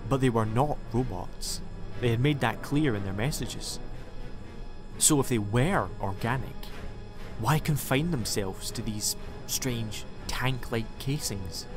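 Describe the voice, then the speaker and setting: A man's voice: medium pitch, slow pace. A man reading a scripted science fiction story as an audiobook narrator. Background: music.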